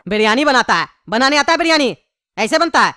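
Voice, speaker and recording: A woman's voice: sits high, sing-song, fairly quick, sharp tone, no room sound, studio quality, full character voice. A woman voicing a brash, bossy, defiant young cartoon schemer with a blunt, demanding manner. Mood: scornful. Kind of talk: comedy cartoon voice acting.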